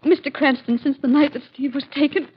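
An actress playing a frightened, anxious wife pleading for help in a 1940s radio drama, fearful and breathy. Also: female voice, high-pitched, fairly even intonation, a little fast, natural voice. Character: frightened, anxious married woman seeking help. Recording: muffled 1940s radio recording.

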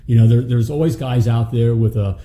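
A man's voice: mid-range voice, brisk, mellow. A man in his forties hosting a live business talk show from a studio desk mic, making points with emphasis and often checking in with "okay?". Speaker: show host, plumbing business coach. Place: studio set with city backdrop. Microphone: desk mic on boom arm.

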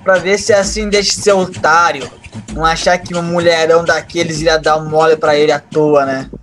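An actor playing a calm, scheming young man in an audio drama, with a knowing, casual manner. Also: a little high, moderate pace, faint music behind, natural voice. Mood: smug.

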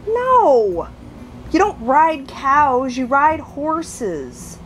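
A woman voicing a bossy, scolding little lamb plush toy in a puppet skit, firm and drawn-out. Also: sits high, sing-song, a little hiss or hum, pitched below the performer's own voice, exaggerated voice, low-quality recording.

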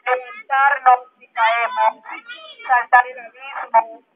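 Lady declaiming poems in a park, highish voice, thin megaphone-type sound. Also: little pitch movement, slow pace, dry recording. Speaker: poet reading in public. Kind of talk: poetry recital and announcing at a public event. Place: outdoor public park. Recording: tinny, band-limited megaphone sound outdoors.

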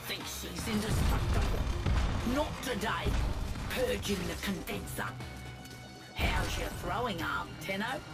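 A woman playing a haunted, grieving yet defiant young survivor in a video game, with halting, dramatic phrasing. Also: medium pitch, lively intonation, close to an everyday voice. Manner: halting, fragmented, dramatic delivery. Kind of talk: scripted video game acting. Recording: loud game music behind.

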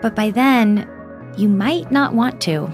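A woman's voice: on the high side, lively intonation, takes it slowly, soft background music. A woman with an American accent playing an eager, teasing podcast host narrating a documentary mystery. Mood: eager, intriguing.

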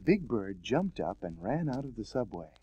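A man's voice: fairly low pitch, big pitch swings, moderate pace, dull audio, background noise. A man narrating a children's read-along storybook record in a plain, matter-of-fact way.

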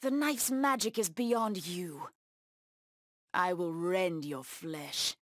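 An actress voicing a fierce, bloodthirsty feline huntress in a video game, with a menacing, haughty delivery. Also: female voice, lowish voice, slow pace, no echo, studio quality. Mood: menacing, predatory. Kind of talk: video game voice acting, short combat taunts.

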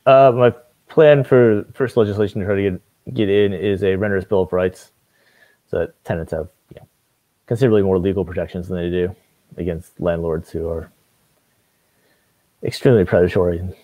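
Young male candidate from Maine, deep dark voice, unhurried, lots of ums, webcam in a home study. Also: no room sound, rough recording. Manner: relaxed, hesitant with frequent fillers. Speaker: city council candidate. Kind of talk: casual video call interview. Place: home room with bookshelves. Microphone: video call webcam.

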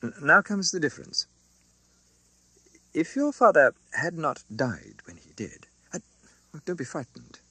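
A male reader plays an elderly gentleman banker from a classic novel audiobook. He is fussy and kind, stammering through formal business talk. His voice is bright and animated, with some rasp, recorded close and dry. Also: on the high side, unhurried, pauses often, dry recording, pitched above the performer's own voice, full character voice. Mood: earnest, agitated. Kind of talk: dramatised audiobook reading.

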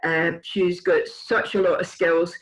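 An older woman hosting a casual video-call interview, with a halting delivery that repeats words as she goes. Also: on the low side, level tone, rough recording. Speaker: interview host. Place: indoors in a room at home, on a video call. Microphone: computer microphone on a video call.

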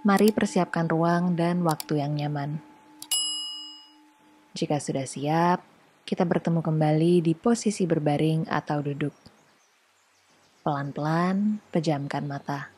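A woman leading a guided meditation in a soothing, unhurried manner, leaving gentle pauses between phrases. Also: sits low, slightly rough. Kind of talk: guided meditation, calm slow instruction.

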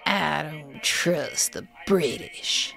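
A woman playing a gravelly, distrustful old lady. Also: deep voice, fairly bright tone, soft background music, heavily acted. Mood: gruff, suspicious. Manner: blunt, distrustful, slow drawl. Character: suspicious gruff old woman living alone. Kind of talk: audio drama acting.

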